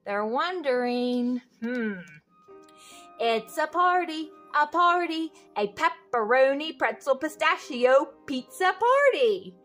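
A woman's voice: high-pitched, expressive, takes it slowly, fairly bright tone, faint music behind. A woman reading a children's picture book aloud expressively, with sing-song refrains and little questions to young listeners. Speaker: read-aloud host.

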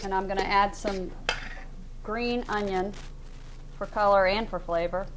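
A woman's voice: medium pitch, steady tone, measured pace. Woman in her thirties, cooking show host, easygoing chat, faint room hum behind. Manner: chatty and friendly, with y'all and fillers. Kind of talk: casual cooking demonstration. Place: large studio kitchen indoors.

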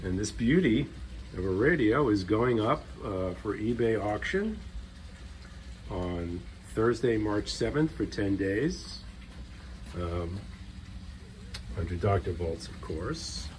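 A man's voice: fairly low pitch, big pitch swings, takes it slowly, long pauses, a little hiss or hum, low-quality recording. A man giving a casual, unscripted demonstration in a conversational way, as a restorer showing his work. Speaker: vintage radio restorer presenting his work. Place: indoors, at a stone countertop. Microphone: handheld camera or phone, off screen. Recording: music playing from a radio nearby. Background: music from the radio.

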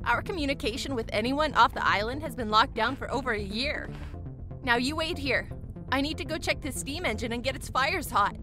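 A confident young woman pilot, played by an actress in a fantasy audio drama. Her voice is on the high side, proud and brisk, with music clearly playing behind it. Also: close to an everyday voice. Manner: proud, breezy, self-assured.

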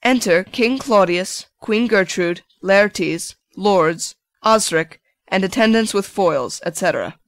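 A woman's voice: mid-range voice, animated, slow pace, few pauses, no room sound. A woman reading out stage directions as the narrator of a play, in scripted narration.

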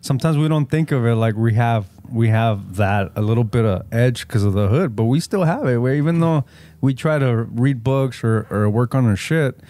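A man's voice: medium pitch, lively intonation, moderate pace, dark tone, dry recording. Man, native Spanish speaker, podcast co-host, swears a lot, laughs often, mixes Spanish and English, dry close mic. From Los Angeles area. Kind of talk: casual chat.

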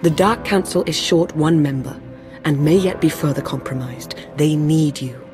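Lady doing a serious sith advisor in a game, earnest and formal, steady grave delivery over music. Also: sits low, normal speed, natural voice. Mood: grave resolve. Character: composed female Sith intelligence adviser, grave and resolute. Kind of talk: dramatic video game acting.

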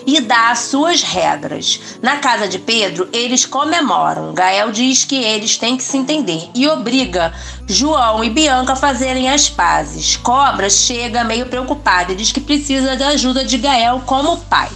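A woman narrating soap opera plot recaps in an even, scripted reading. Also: a little high, a little slow, creaky, soft background music.

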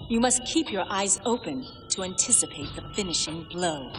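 A woman's voice: lowish voice, expressive, normal speed, natural voice. A woman playing a cool, loyal warrior bodyguard in a video game, composed, confident and wry. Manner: composed, formal. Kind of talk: video game cutscene acting. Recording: long reverb over loud music.

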